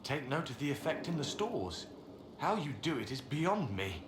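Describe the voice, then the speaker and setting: An actor playing a deferential attendant reporting to a leader in a video game. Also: male voice, a little high, very animated, normal speed. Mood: respectful. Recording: roomy echo with clear background noise.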